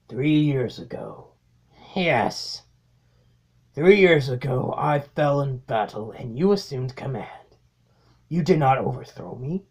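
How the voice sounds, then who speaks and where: A man's voice: on the high side, creaky, full character voice. A man voicing a domineering robot warlord in a cartoon-style comic dub, delivering theatrical, menacing monologues full of cold mockery. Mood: cold contempt. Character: domineering robot warlord, male, coldly mocking his underling. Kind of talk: amateur comic dub acting.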